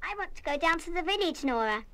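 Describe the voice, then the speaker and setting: Spoilt little british girl, defiant, high bright voice, old radio play. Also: expressive, fast talker, faint music behind, exaggerated voice. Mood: indignant, determined. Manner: stubborn, emphatic, petulant. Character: headstrong, defiant young schoolgirl, spoilt and proud. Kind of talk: full-cast radio play acting.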